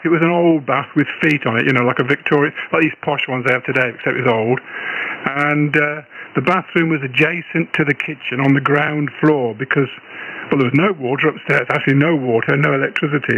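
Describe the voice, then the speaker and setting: A man's voice: medium pitch, fairly bright tone, muffled recording. A middle-aged British man chatting casually as an amateur radio operator, reminiscing in a rambling way over a shortwave radio link. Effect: radio transmission. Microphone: radio transceiver, received over shortwave. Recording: noisy shortwave ham radio signal.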